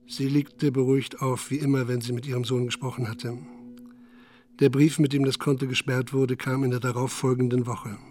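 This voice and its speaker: An actor narrating a radio crime drama in a sombre, detached manner. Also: male voice, medium pitch, little pitch movement, normal speed, darkish tone, lightly acted. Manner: sober, detached. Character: sombre male third-person narrator of a crime story.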